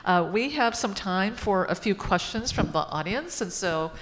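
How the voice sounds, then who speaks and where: A woman moderating a panel, responding to an answer and opening audience questions in a measured way. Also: medium pitch, lively intonation, fairly bright tone, in a large hall, a little hiss or hum.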